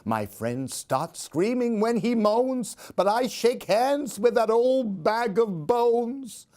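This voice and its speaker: A man reading a children's story aloud in an expressive, theatrical, singsong way. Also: very high-pitched, takes it slowly. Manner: theatrical, singsong rhyming delivery.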